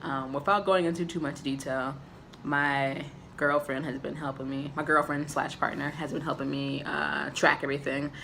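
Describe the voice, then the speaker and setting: A man giving a candid, casual personal update to camera, with a raspy voice and frequent filler ums. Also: a little high, level tone, normal speed, sharp tone, a little hiss or hum. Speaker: vlogger.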